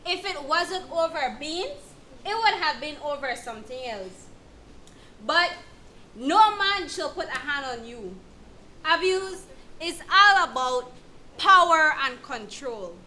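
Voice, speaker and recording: A woman playing a firm, supportive counsellor, speaking deliberately and emphatically in an educational dramatization. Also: sits high, lively intonation, bright, forceful tone, full character voice. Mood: firm, reassuring. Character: female counsellor, firm, supportive, explaining abuse to victim. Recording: clear background noise.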